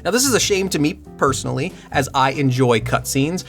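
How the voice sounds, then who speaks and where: A man's voice: on the high side, fairly quick, faint music behind. Man giving dry, joking game commentary. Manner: dry humor, excited asides. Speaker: video essayist on game usability. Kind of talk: scripted commentary with jokes.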